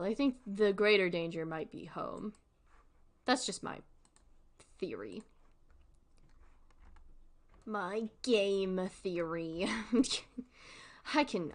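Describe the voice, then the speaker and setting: A woman streamer giving casual livestream commentary in an informal, rambling, giggly way. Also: mid-range voice.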